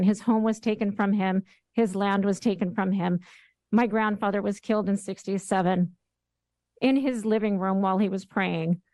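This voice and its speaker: A woman from the Bay Area giving prepared public comment at a city council in measured, declarative sentences with repeated rhetorical phrases. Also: mid-range voice, darkish tone, no room sound. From San Francisco Bay Area. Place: council chamber.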